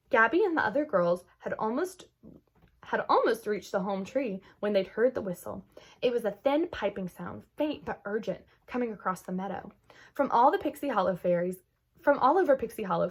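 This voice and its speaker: Husky woman reading a children's storybook. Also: medium pitch. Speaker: children's book read-aloud host.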